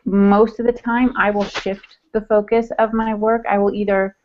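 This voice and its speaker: A woman's voice: mid-range voice, level tone, moderate pace, slight background noise. Woman chatting on a lo-fi podcast. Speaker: podcast co-host. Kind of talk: casual podcast chat. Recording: dull, lo-fi podcast audio.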